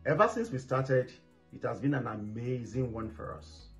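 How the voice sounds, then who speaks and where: A man giving a calm, steady testimonial on behalf of his family. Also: medium pitch, moderate pace, soft background music. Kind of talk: personal testimonial. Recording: dull lo-fi recording.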